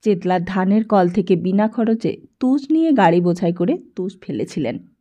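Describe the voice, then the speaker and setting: A woman's voice: medium pitch, expressive, normal speed, warm tone, muffled recording. Woman narrating an audiobook story.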